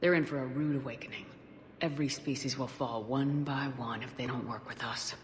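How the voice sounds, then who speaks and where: A man playing a resolute, earnest military commander in a science fiction video game, speaking in a firm, measured way. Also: mid-range voice, steady tone, slight background noise, natural voice. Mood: calm, serious.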